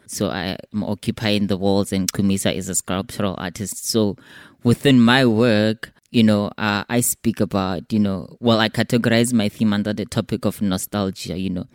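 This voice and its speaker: A man's voice: lowish voice, a little mellow, no echo, clean studio sound. A man from South Africa, a native Sepedi speaker with a South African accent, explaining his work discursively in an interview. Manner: discursive, frequent 'you know'. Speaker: artist, podcast guest. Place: gallery space.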